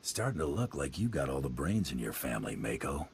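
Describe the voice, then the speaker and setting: An actor voicing a gruff, laconic male gunslinger in a space video game, dry and flirtatious. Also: a bass voice, sing-song, fairly quick, a little hiss or hum, exaggerated voice.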